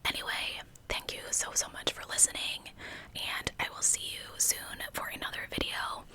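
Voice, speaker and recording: A woman narrating in ASMR style as a true crime host, speaking in a soft, deliberate, breathy whisper.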